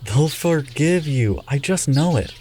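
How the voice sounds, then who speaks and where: A man's voice: on the high side, lively intonation, unhurried, darkish tone, a little hiss or hum. An actor playing a carefree, adventurous, teasing young man in a radio drama, with a laid-back, slangy manner. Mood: upbeat.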